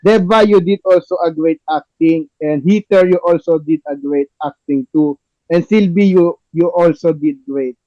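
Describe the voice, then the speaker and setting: A fairly high-voiced man narrates and hosts an amateur table read. His delivery is halting and repetitive with non-native phrasing, his tone is on the dark side, and the recording is muffled. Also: no room sound. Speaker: podcast host, playwright and narrator.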